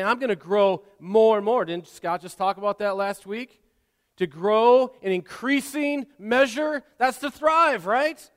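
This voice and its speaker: A man's voice: sits high, clear, resonant tone. Preaching man in a reverberant hall. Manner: swings from quiet asides to loud, impassioned exhortation; repeats and restarts words often. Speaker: pastor. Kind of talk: sermon.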